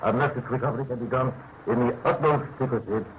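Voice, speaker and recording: A narrow, phone-like vintage radio sound with faint noise frames an actor as a grave British Prime Minister. He is austere and commanding, weighing each formal phrase in a mid-pitched voice. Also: fairly even intonation, fast, lightly acted. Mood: grave, stern. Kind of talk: classic radio drama acting. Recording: thin narrow-band old radio recording, faint noise.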